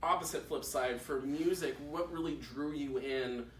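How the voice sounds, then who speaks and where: Host, male, higher pitch, casual and laughing, off-mic in a roomy room. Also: normal speed, distant mic, slight background noise. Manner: chatty, laughs often, swears freely. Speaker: talk show host. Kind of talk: casual interview chat. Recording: roomy, off-mic with faint ambience.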